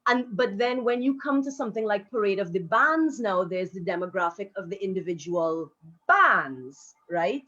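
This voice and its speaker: A woman answering as a panelist, thoughtful and frank, with measured phrasing. Her voice sits at an ordinary middle pitch. The audio is a little dull and lo-fi. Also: lively intonation, pushed and bright. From Trinidad and Tobago. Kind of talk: live online panel discussion.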